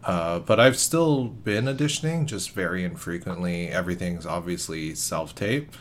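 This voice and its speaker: A man's voice: low voice, normal speed. A man who is an actor, interviewing a guest in a casual, easygoing chat with curious questions.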